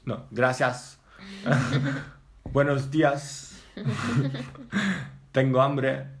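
A man's voice: medium pitch, level tone, a little hiss or hum. Italian man chatting in hesitant Spanish. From Italy. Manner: halting, searching for words. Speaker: guest. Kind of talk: casual chat.

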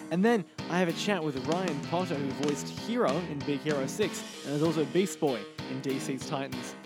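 A man's voice: a little high, normal speed, loud music behind the voice. A man presenting and interviewing as a podcast host.

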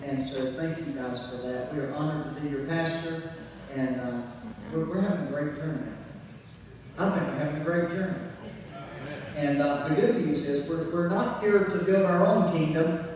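A man's voice: on the high side, normal speed. A man preaching a church sermon as pastor, folksy and emphatic, repeating key phrases, his voice a little weakened by laryngitis. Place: church, speaking to a congregation. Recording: very echoey hall, distant mic, faint noise.

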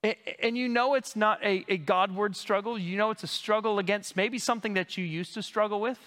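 A man's voice: sits high, steady tone, brisk, a bit bright. A male pastor preaching a teaching sermon in a church, explaining Greek words in a conversational way while he keeps coughing. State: coughing, recovering from lost voice. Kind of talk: expository sermon. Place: church sanctuary. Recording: long hall reverb.

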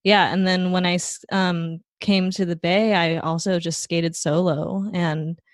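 A young woman from Taiwan, a guest in a casual interview, talking in a relaxed, rambling way with plenty of fillers. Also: lowish voice, unhurried, no room sound, studio quality. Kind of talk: casual conversation.

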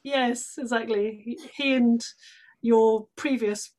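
High-voiced British woman, effusive and emphatic. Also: fairly even intonation, takes it slowly, darkish tone. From London, England. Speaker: guest, stage actress. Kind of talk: conversational interview.

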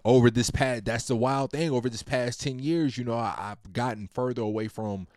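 A man's voice: mid-range voice, fairly even intonation, moderate pace, darkish tone, dry recording, studio quality. A man in his late twenties talking casually and at length about his family, in a rambling, slang-heavy, laid-back way. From Virginia. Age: 20s. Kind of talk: casual personal monologue.

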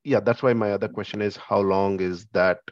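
Very low-voiced man on a muffled call. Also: fairly even intonation, brisk. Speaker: caller seeking immigration advice. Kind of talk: spontaneous phone questions. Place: phone conference call.

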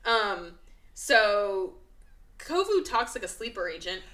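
Bright, lively young woman podcaster. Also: medium pitch. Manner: profane, rapid, filler-heavy with many "like"s. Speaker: podcast host. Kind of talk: casual movie review chat.

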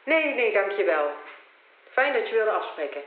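A woman's voice: medium pitch, big pitch swings, moderate pace, lightly acted. A woman playing a probing reporter in an audio drama, asking brief questions on a roomy, hissy interview tape. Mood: attentive, probing. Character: probing female reporter conducting a recorded interview. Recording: roomy, phone-like tape with hiss.

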